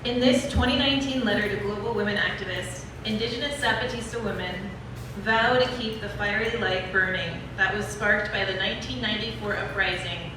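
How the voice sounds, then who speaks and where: A woman's voice: medium pitch, brisk. A woman delivering scripted narration as presenter, introducing readings at an activist event. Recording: echoey hall from far off, clear noise.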